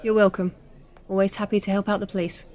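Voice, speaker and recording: A woman playing a nosy, cheeky, smooth-talking investigator in an audio drama. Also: mid-range voice, little pitch movement, quick pace, a little mellow, close to an everyday voice. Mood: probing, breezy.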